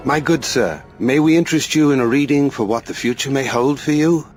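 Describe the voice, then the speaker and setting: A man's voice: mid-range voice, brisk, faint music behind, close to an everyday voice. An actor playing an ingratiating fortune-teller's front man in a video game, theatrical and overly courteous. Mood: wheedling. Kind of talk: video game cutscene acting.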